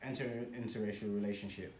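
A man's voice: on the low side, fairly even intonation, fast, a little mellow, a little hiss or hum. A man in a casual group chat, making his point in an earnest, emphatic way. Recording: roomy, distant from the mic.

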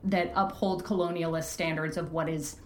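A woman's voice: low-pitched, fairly even intonation, moderate pace, darkish tone, slight background noise. A woman talking candidly as an interview guest, earnest and emphatic in how she explains things. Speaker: podcast creator, interview guest. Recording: roomy, echoey sound.

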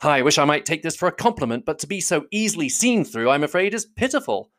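A reader as an eager, lilting young gentleman. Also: medium pitch, fast, pitched above the performer's own voice. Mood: cheerful, playful. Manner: polite, earnest, animated.

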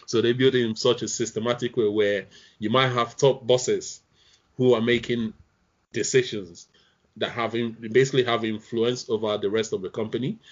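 A man in a casual group discussion, speaking in a measured, reflective way with frequent pauses. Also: mid-range voice, fairly even intonation. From outside the UK. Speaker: panel guest. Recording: dull lo-fi sound.

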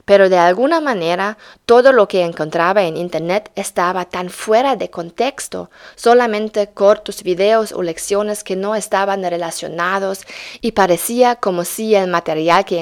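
A woman's voice: lowish voice, measured pace. A young German woman, speaking Spanish she learned as an adult, tells her story in earnest and introduces her German courses. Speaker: German teacher.

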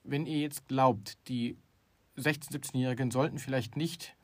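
A man's voice: mid-range voice, steady tone, moderate pace. A middle-aged man co-hosting a casual talk show, opinionated and emphatic, with many restarts. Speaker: podcast co-host. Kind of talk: casual chat.

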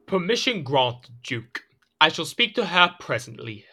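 A man's voice: a little high, very animated, measured pace, pushed and bright, full character voice. An actor playing a proud, petulant French king in a period audio drama, with a theatrical, formal manner. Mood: grudging, thoughtful. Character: proud, petulant French king, suspicious yet conciliatory husband.

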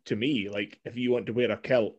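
A man's voice: medium pitch, fairly even intonation, brisk, dull audio. A Scottish man chatting casually, blunt and sweary, rambling with restarts. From Scotland. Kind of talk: casual chat.

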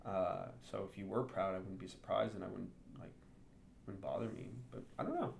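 A man's voice: deep voice, steady tone, brisk, vocal fry, a little hiss or hum. A native English-speaking man talking candidly and thinking aloud in a casual interview. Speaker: interview guest. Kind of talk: casual conversation.

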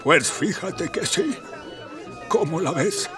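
A man's voice: fairly high pitch, big pitch swings, recorded from a distance, music in the background. An actor playing a pompous, aggrieved emperor and father in a scripted radio drama, speaking in a theatrical, grandiose way. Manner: theatrical, drawn-out, grandiose speech.

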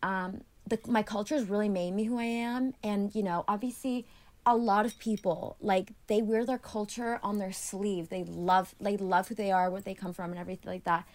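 A woman's voice: medium pitch, moderate pace. Young woman guest, remote call, talkative and filler-heavy, grew up speaking Greek. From Annapolis, Maryland, USA. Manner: chatty, many "like" fillers, animated when retelling. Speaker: podcast guest. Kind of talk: casual conversational interview. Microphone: remote call from home.

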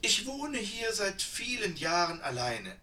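A man's voice: sits high, very animated, measured pace, pushed and bright, far from the mic, pitched above the performer's own voice, full character voice. A man voicing a kind, breathless, plump old village woman with an eager, fussing manner. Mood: chatty.

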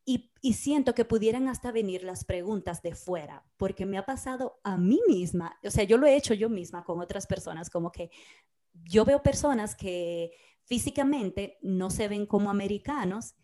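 Dominican woman telling animated stories. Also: mid-range voice, normal speed. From Dominican Republic. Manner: animated storyteller, acts out quoted dialogue. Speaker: podcast co-host. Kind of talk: casual conversation.

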